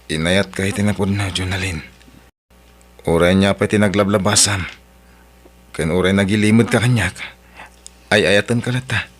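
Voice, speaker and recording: A devoted young man in an Ilocano radio drama. His voice sits on the low side, and he is a long-suffering lover and dutiful son with an Ilocano accent. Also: fairly even intonation, normal speed, pauses often. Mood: earnest, gentle.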